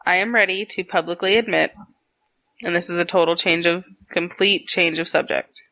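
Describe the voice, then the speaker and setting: A woman's voice: on the low side, fairly even intonation, moderate pace, sharp tone, poor audio. A woman in her late twenties from Texas, co-hosting a casual podcast chat, laughing often and teasing her partner. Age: 20s. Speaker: podcast co-host. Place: home in Arizona.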